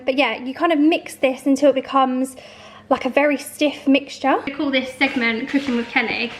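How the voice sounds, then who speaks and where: High pitched girl doing a chatty kitchen baking vlog, bit hoarse, echoey room. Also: fairly bright tone. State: slightly hoarse from a long call. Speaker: vlogger and home baker. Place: home kitchen. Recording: echoey room, faint noise, clicks.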